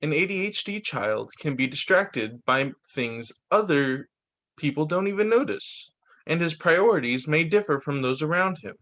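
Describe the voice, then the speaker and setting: High-pitched, lively man reading aloud. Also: normal speed, rough recording. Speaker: teacher reading picture books. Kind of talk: read-aloud story time for children.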